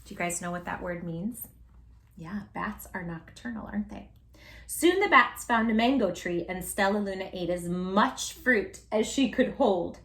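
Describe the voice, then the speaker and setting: A woman reading a children's story aloud in an expressive, exaggerated manner, speaking directly to young listeners. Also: mid-range voice, moderate pace. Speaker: children's storytime host and teacher-like reader.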